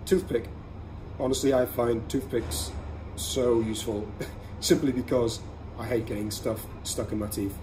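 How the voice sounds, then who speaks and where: Man, average pitch, casual review host, conversational explainer, short measured phrases, noticeably noisy ambient recording. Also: fairly even intonation. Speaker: podcast host reviewing everyday carry products. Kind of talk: casual product review monologue. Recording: clearly audible ambient background noise.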